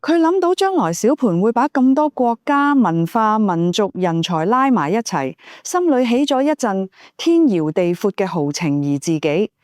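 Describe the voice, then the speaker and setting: A woman's voice: mid-range voice, very animated, moderate pace, hardly any pauses, darkish tone, dry recording, studio quality. A woman narrating a novel from a script in Cantonese, as an audiobook storyteller.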